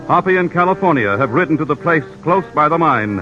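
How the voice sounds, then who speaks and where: A man's voice: fairly high pitch, expressive, brisk. A man with an American accent reading scripted narration as an old-time radio announcer. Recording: dull vintage radio recording. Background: loud music.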